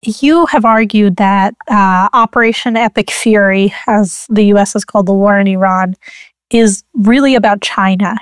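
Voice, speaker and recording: A woman's voice: mid-range voice, normal speed, clear, resonant tone, no echo, clean studio sound. A woman co-hosting a podcast, asking plain, direct questions. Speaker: podcast co-host.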